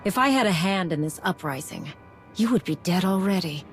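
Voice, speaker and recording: An actress playing a calm, firm female Jedi Knight in a video game. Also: on the low side, normal speed, soft background music, natural voice. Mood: threatening. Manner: firm, level, commanding.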